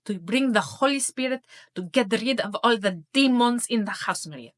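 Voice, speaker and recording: A devout older Greek mother with a thick Greek accent, speaking insistent, broken English, as her daughter puts her on. Her voice rises a little above the speaker's usual pitch. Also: expressive, normal speed, natural voice.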